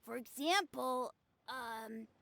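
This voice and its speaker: A woman's voice: high-pitched, slow, gravelly, sharp tone, no echo, heavily acted. A woman voicing a small child in a video game who proudly and eagerly plays at being a martial arts master. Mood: self-important, vague. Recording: game music behind a dry voice.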